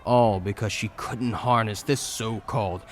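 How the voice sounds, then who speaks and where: A man's voice: lowish voice, steady tone, measured pace. A man narrating a scripted fantasy audio drama in a dramatic storytelling style. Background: music.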